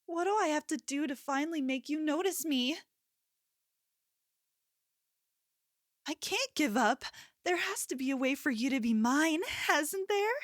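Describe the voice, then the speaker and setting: A woman's voice: high-pitched, animated, normal speed, no echo, clean studio sound. A woman playing an obsessive, possessive young yandere in an ASMR roleplay, speaking intimately and coaxingly, like a lover with menace underneath. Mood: desperate.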